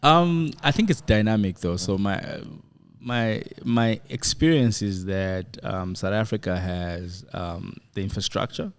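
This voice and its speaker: A man from Zambia, a guest on a podcast, talking casually and thoughtfully with many pauses and restarts. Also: fairly low pitch, clear, resonant tone.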